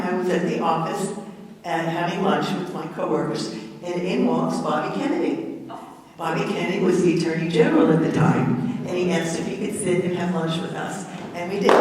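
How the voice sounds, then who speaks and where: A woman's voice: lowish voice, darkish tone, slight background noise. A woman in her eighties with a Brooklyn accent, telling stories as a guest speaker and laughing as she goes. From Brooklyn, New York. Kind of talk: storytelling talk. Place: library hall. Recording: echoey hall, distant from the mic.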